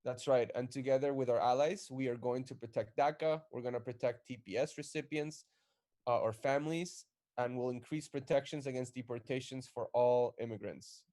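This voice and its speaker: Mellow-voiced man hosting a rally stream. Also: medium pitch, moderate pace. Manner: energetic, rallying. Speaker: live stream co-host.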